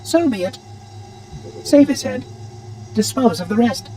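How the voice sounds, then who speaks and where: Prissy pompous little robot, really high, pitch jumps all over, bit far from the mic. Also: lively intonation, a little slow, mellow, slight background noise. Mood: coldly menacing. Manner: pompous, prissy, formal wording. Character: fussy, pompous floating robot caretaker, coldly cheerful. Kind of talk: video game cutscene acting.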